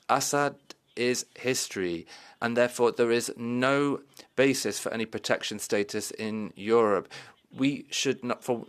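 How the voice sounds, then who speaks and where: A male interpreter rendering a forceful parliamentary speech into English, with frequent restarts and fillers. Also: mid-range voice, clean tone.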